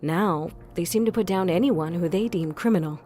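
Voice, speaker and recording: A woman playing a determined, methodical vigilante investigator in an audio crime drama, explaining things in a measured way. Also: lowish voice, dark tone, close to an everyday voice. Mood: measured, serious.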